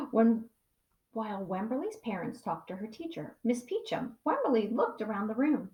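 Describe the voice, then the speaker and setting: Animated woman reading a children's storybook. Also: a little high. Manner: animated, slow dramatic emphasis, pauses to ask questions. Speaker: pre-K teacher. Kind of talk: expressive children's storybook read-aloud. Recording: echoey room sound.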